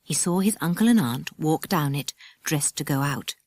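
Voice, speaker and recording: A woman's voice: sits low, expressive, moderate pace, a little mellow, no room sound. A woman reading scripted narration for a children's audiobook, close to a studio microphone.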